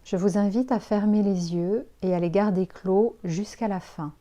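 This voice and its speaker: A woman leading a guided yoga relaxation as an instructor, giving calm, soothing instructions with pauses. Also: medium pitch.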